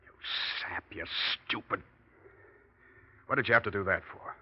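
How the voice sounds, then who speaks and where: Crooked office manager, low-pitched, shifty and curt, vintage radio noir, muffled old recording. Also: male voice, moderate pace, husky. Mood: angry, tense. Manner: hesitant cover-ups, curt snapping. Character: scheming, nervy crooked office manager, adult man. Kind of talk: 1940s radio noir acting.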